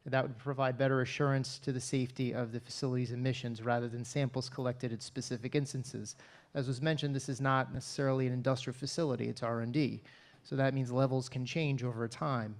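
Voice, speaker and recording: A man addresses a planning board in a measured, businesslike way from a podium microphone. His voice sits at an ordinary middle pitch for a man. The room sounds like a town hall meeting space. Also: fairly even intonation. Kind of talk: formal public comment at a planning meeting.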